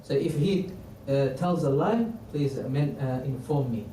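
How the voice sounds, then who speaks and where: A man's voice: medium pitch, dark tone. Non-native English-speaking man teaching in a mosque. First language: not English. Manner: halting, many restarts and fillers, quotes Arabic. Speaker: imam teaching a class. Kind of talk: religious history lesson. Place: mosque hall. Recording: roomy, distant mic, faint noise.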